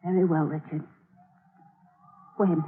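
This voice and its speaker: A woman's voice: sits low, level tone, slow, slightly rough, narrow, tinny audio, heavily acted. An actress playing a devoted, anxious woman in love in a 1940s radio melodrama, pleading and hesitant. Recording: thin, noisy 1940s radio recording.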